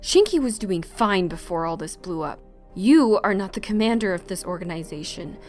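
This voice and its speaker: A woman's voice: mid-range voice, animated, normal speed, a little mellow, faint music behind, natural voice. A woman playing a stern, defensive commander in an amateur anime-style audio drama. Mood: angry, defensive.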